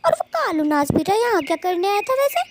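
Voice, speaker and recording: A fiery little female cartoon bird, extremely high and creaky. She scolds and gossips in bossy, dramatic bursts with big swoops in pitch, over faint background noise. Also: big pitch swings, brisk, full character voice. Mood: aggrieved, scolding. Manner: scolding, chatty, dramatic colloquial delivery. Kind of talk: children's moral-story cartoon dubbing.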